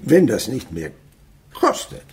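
An actor playing a sly old miserly French merchant in a radio play, feigning a halting stammer. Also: male voice, medium pitch, huge pitch swings, slight background noise. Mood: feigned hesitation, cunning. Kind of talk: radio drama acting.

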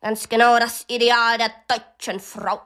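A woman's voice: a little high, little pitch movement, a little slow, fairly bright tone, clean tone. An actress playing an eager, bossy schoolgirl in a German radio drama, play-acting with her sister. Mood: playful, boastful.

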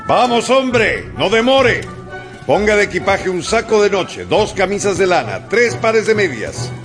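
A man playing a confident, commanding gentleman in a radio drama, giving clipped, imperious orders. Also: high voice, very animated, unhurried, over music. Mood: impatient.

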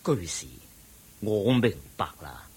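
A venerable old monk in a Buddhist radio play. He is humble and deferential, speaking slowly in a low, very husky voice. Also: exaggerated voice. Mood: humble, grateful. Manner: deferential, expressive. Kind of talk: Cantonese radio drama acting.

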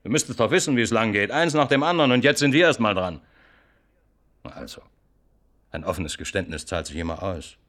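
An actor in a German radio play voicing an insistent, needling police interrogator who swings between threats and chummy, colloquial coaxing. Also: male voice, lowish voice, animated, brisk, pauses often, a bit bright. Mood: coaxing, cynical. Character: pushy, cajoling male police interrogator, by turns threatening and chummy.

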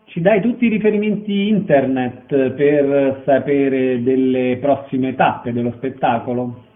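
A man's voice: medium pitch, measured pace. A man hosting a radio arts interview, putting questions and making introductions. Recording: narrow, phone-like radio sound.

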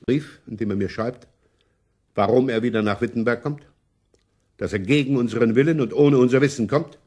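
A man's voice: mid-range voice, brisk, darkish tone, natural voice. Man acting a cautious, curt ruling prince. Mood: wary, commanding. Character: cautious, self-protective male prince giving orders. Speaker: actor in a radio play. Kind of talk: German radio drama acting.

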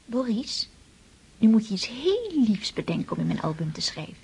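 An actress playing an impulsive, affectionate young countess in love in a radio drama, girlish and effusive, with a breathy, husky voice. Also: medium pitch, big pitch swings, moderate pace, a little mellow, slight background noise. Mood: playful. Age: young adult.

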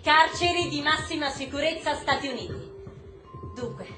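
Actress as an insistent high-voiced female journalist, echoey. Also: moderate pace, music in the background, natural voice. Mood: focused. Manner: insistent, questioning. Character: persistent young female journalist, indignant, searching for answers. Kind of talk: stage-style radio drama acting.

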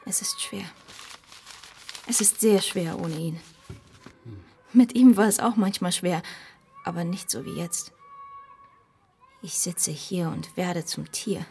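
Low, creaky, grieving young widow. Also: female voice, a little mellow, a little hiss or hum. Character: Marina, weary grieving young widowed mother. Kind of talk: German radio drama acting.

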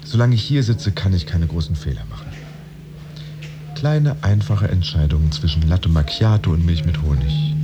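Radio drama lead: jobless brooder, bottom-register voice, dry measured inner monologue, prominent music underneath. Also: male voice, rumbling low. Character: brooding man who lost his job, pretending to work.

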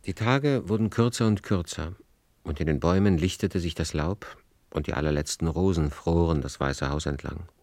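A man narrating a literary radio play as a measured storyteller. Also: deep voice, darkish tone, no echo.

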